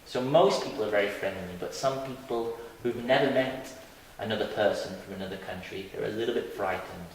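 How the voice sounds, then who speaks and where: A teacher, a man with a lowish voice, chats easily and sounds friendly as he answers a question. He is recorded from well off the mic in a strongly echoing hall with a long reverb tail. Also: fairly quick, slight background noise. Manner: friendly, easygoing, chatty. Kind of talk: interview answers. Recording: echoey hall, mic far off.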